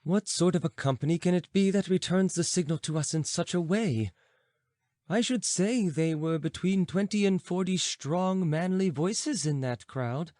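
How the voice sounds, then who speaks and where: A man voicing a philosopher's watchful male companion in an audiobook, brisk, urgent and formal. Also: high-pitched, a little mellow, dry recording, studio quality, voice pushed up, close to an everyday voice. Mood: urgent, curious. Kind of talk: audiobook character dialogue.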